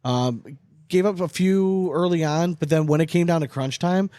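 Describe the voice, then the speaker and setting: A man's voice: on the high side, moderate pace, no room sound, clean studio sound. A man co-hosting a podcast, chatting casually about sports with strong opinions and frequent swearing. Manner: animated, opinionated, swears often. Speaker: podcast co-host.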